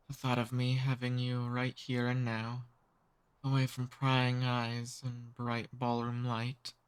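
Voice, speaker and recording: Casting: male actor for an ancient noble vampire, mid-pitched male voice, charming and softly dominant, intimate ASMR roleplay. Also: smooth, lightly acted. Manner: courtly, slow seductive teasing.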